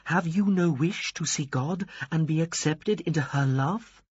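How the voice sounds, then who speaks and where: Guy voicing a pompous death angel, raised pitch, husky, preachy and stiff. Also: measured pace, darkish tone, dry recording, highs cut off. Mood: solemn. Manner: solemn, pious, insistent. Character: dark winged angel of death, solemn and pompous.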